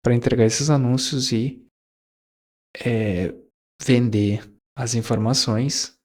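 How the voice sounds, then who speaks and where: A man's voice: medium pitch, steady tone, takes it slowly, a little mellow. Rambling young man musing. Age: 20s. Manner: rambling, many fillers and self-corrections. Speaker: YouTuber. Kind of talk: casual reflective monologue.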